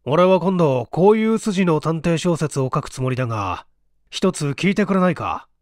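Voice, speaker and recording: An eager, smug writer from a storybook, acted by a man, rambles through his explanations. His pitch swings widely, and the sound is close and dry. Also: lively intonation, normal speed, no room sound, natural voice. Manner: self-satisfied, explanatory, rambling.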